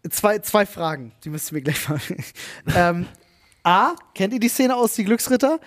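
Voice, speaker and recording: A man's voice: sits high, expressive, normal speed. A man telling family anecdotes in casual, colloquial chat, often acting out quotes.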